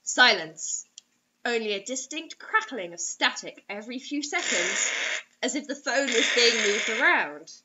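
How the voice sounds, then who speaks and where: A young adult woman giving an expressive, theatrical, mocking reading of a story on a webcam in a small room. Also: on the high side, unhurried, highs cut off, background noise. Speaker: host reading bad fiction aloud.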